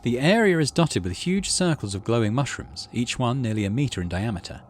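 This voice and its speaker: A man's voice: medium pitch, expressive, fairly quick, slight background noise. A man hosting a gaming video, reading story text aloud and adding casual commentary.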